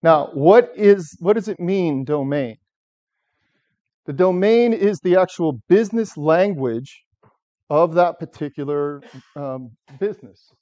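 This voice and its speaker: A middle-aged man from the United States giving a talk in a lecture room without a microphone, loud and engaging, asking the audience questions. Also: a little high, expressive, takes it slowly, muffled recording. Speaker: software architect giving a talk. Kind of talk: conference talk to a live audience. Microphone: far camera, no microphone.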